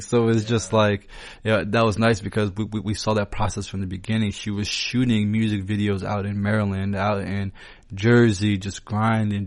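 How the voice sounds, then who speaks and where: A man co-hosts a casual podcast in a low voice with little melodic movement. The sound is dry, and he talks in an agreeable, explanatory way. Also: darkish tone, dry recording. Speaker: podcast co-host. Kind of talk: casual conversational podcast talk.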